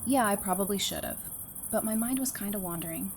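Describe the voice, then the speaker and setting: An easygoing, teasing female survivor played by an actress talks in a mid-pitched voice. Her delivery is casual and friendly, in audio drama style. Clear background noise and crickets surround her. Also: fairly quick, close to an everyday voice. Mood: relaxed, warm. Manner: casual, colloquial.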